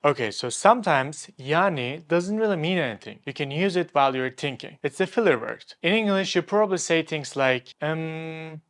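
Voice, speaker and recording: A young man with a slightly raised pitch teaches in an upbeat, clear way. He is a native Turkish speaker running a scripted online lesson, and he slows right down to spell out example phrases. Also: expressive, a bit bright.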